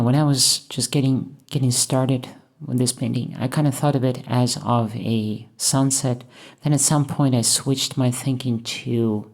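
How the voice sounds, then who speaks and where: A woman from Russia, thoughtfully talking over her painting process in a hesitant, musing way with many pauses. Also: rumbling low, darkish tone. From Moscow, Russia. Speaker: painter and channel host. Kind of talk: reflective voice-over while painting.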